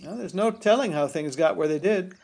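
An actor playing a playful, coaxing farm husband in a radio play, with a folksy manner. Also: male voice, high-pitched, fairly quick, gravelly, darkish tone, breathy edge, heavily acted.

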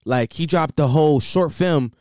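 A man's voice: a little high, moderate pace, darkish tone, dry recording, studio quality. A young man rambling casually as a solo podcast host, repeating himself and filling with "like".

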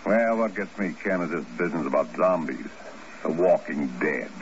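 A man's voice: low voice, animated, moderate pace. A man playing a blunt, skeptical intelligence chief in a 1950s radio drama. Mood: gruff, skeptical. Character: gruff, skeptical male intelligence agency chief. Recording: noisy dull old radio recording.

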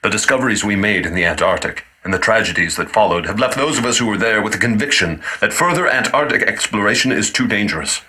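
A man's voice: on the low side, animated, quick pace, few pauses, sharp tone. A man playing a solemn professor who recounts a deadly expedition earnestly. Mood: grave. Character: grave older professor, expedition survivor. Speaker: guest professor.

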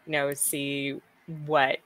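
A woman's voice: rumbling low, completely flat delivery, slow pace, fairly bright tone. A woman talking as a podcast guest in easygoing casual chat, with lots of fillers. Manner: easygoing, laughs often, filler-heavy.

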